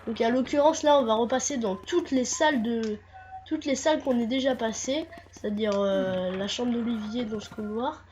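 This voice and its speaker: High, nasal woman with a cold. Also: normal speed, darkish tone, a little hiss or hum. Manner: hesitant, many fillers and restarts. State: stuffy nose from a cold. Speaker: gameplay commentator. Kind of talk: casual walkthrough commentary.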